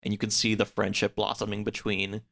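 A man in a casual podcast chat, recapping a story in a halting, hedging way. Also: lowish voice, completely flat delivery, vocal fry, a bit bright. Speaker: podcast speaker discussing books.

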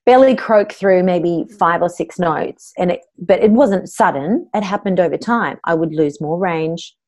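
Woman, Australian accent, middle-aged, voice coach, fairly low voice, earnest storytelling. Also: normal speed, darkish tone. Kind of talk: interview guest telling her story.

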